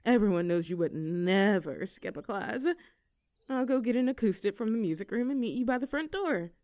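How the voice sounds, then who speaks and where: A woman's voice: mid-range voice, animated, normal speed, a little mellow, no room sound, natural voice. A woman playing a young, teasing mean-girl student in a scripted audio roleplay, with a mocking, sarcastic, haughty manner. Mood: daring, goading.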